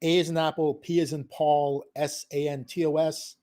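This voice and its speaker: A middle-aged man explains online business on a live stream, loud and emphatic, always checking in with "okay?" and "right?". His voice sits a bit high and swings widely as he drives each point home. He laughs often and talks like he is teaching. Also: level tone, normal speed. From Filipino family. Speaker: guest co-host, digital marketing consultant.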